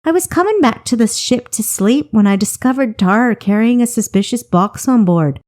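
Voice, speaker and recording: A woman's voice: on the high side, lively intonation, moderate pace, a little mellow, pitched above the performer's own voice, close to an everyday voice. A woman voicing a weary, defiant female sailor with an exasperated, sarcastic manner in an audiobook. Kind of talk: audiobook character voice.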